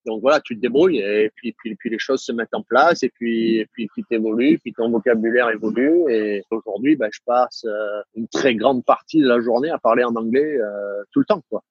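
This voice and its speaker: A middle-aged man with a southern French accent talks in a halting, repetitive way, full of fillers. His voice is a little husky. The recording is dry and slightly dull. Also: mid-range voice, no room sound. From southern France. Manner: halting, many fillers and repeated words. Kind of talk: casual interview talk. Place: living in the United States.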